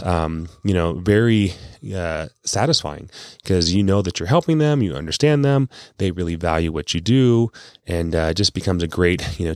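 A man's voice: low-pitched, no room sound, clean studio sound. A man talking in a chatty, informal, rambling way, as an investment adviser hosting his own casual podcast. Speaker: investment adviser and show host. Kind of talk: casual monologue on a financial podcast.

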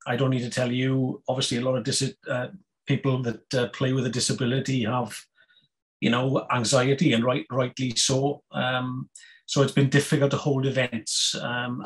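A Welsh man in his fifties, a guest on a podcast, talking casually with many pauses, fillers and restarts. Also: medium pitch, flat delivery, rough recording. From Wales. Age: 50s. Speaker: guest, snooker player and federation chairman.

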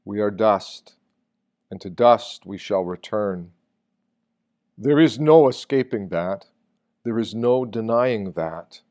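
A man reading a reflective sermon from a text in a solemn, deliberate manner, posing rhetorical questions. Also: fairly low pitch, lively intonation, darkish tone. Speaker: reader of a Lenten meditation.

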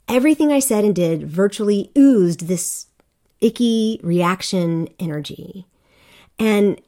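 A woman's voice: medium pitch, a little mellow. A middle-aged woman from Korea hosting a solo podcast, talking candidly and conversationally with fillers and restarts. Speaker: podcast host.

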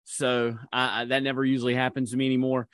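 A man's voice: medium pitch, flat delivery, quick pace, a bit bright, no echo. Man, podcast co-host, rambling delivery full of fillers, dry close sound. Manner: rambling, many fillers and restarts. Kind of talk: casual podcast chat.

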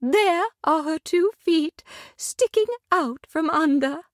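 Woman doing a soft calm good witch lady, way up high and lilting, storybook radio vibe. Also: slow, no room sound, studio quality, full character voice. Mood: welcoming.

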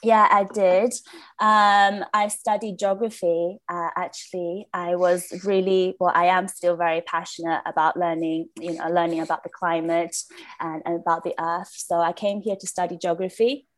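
A woman in her late twenties from China, a podcast guest chatting in an upbeat way, laughing often and filling pauses with "um". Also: on the low side, fairly even intonation. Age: late 20s. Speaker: podcast guest, business founder. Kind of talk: casual interview chat.

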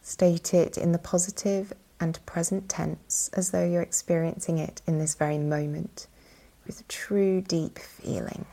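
A woman leading a guided yoga nidra meditation as instructor, calm and soothing, with a soft, breathy voice and long pauses. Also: lowish voice.

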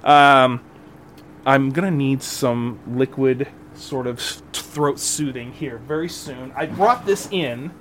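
A man's voice: medium pitch, slow pace, a bit bright, a little hiss or hum. A middle-aged man hosting a golf podcast alone, chatting casually in a rambling, jokey, self-deprecating way. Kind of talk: casual solo podcast chat.

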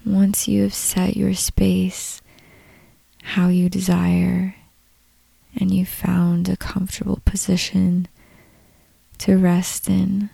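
Woman doing guided meditation, super slow n soft, bit of vocal fry, really dry close sound. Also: fairly low pitch, no room sound, poor audio. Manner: unhurried, calm, long pauses between short phrases.

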